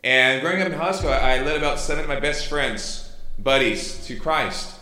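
A middle-aged man from Chile with a Chilean Spanish accent, a native Spanish speaker, giving an informal sermon and testimony as a missionary pastor, halting and correcting himself as he goes. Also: medium pitch, pushed and bright. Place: church hall during a service. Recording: echoey room sound.

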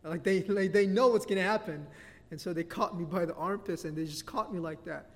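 A middle-aged man with a Filipino accent preaching a sermon as pastor, animated and laughing often between points. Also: high voice, fairly quick. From Philippines. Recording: long hall reverb.

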